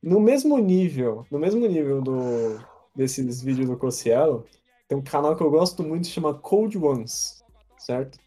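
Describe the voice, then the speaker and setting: Young Brazilian man, dark mellow voice. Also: a little high, a little slow, husky. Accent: Brazilian Portuguese. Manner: loose, profane, animated storytelling. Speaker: podcast co-host. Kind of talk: casual chat.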